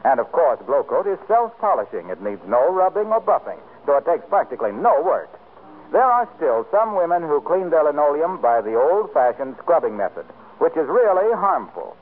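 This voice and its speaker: A man's voice: on the high side, sing-song, moderate pace. A man announcing scripted commercials and credits in polished 1940s radio style. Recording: narrow-band 1940s radio recording, faint hiss.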